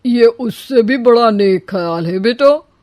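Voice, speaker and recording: A woman's voice: a little high, lively intonation, a little slow, a little mellow, dry recording, studio quality. A woman voicing a gullible elder of a cartoon family, with an easily impressed manner. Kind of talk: cartoon dialogue, Hindi moral story.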